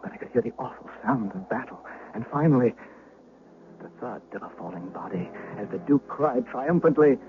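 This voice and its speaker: A man's voice: mid-range voice, animated, moderate pace, lightly acted. An actor playing a humble, fearful shepherd who tells his tale with dramatic suspense in an old-time radio drama. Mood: hushed, tense. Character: fearful humble shepherd recounting what he witnessed. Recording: hissy, muffled old radio broadcast.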